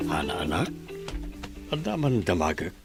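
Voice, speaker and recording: A man with a Filipino accent playing a kindly, concerned middle-aged village father in a radio drama, with a husky voice. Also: deep voice, very animated, normal speed, over loud music, full character voice. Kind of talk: Ilocano radio drama acting.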